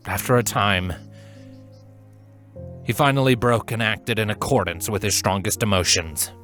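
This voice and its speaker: Low-pitched man narrating a story, earnestly. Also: a bit bright. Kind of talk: expressive scripted fan fiction narration.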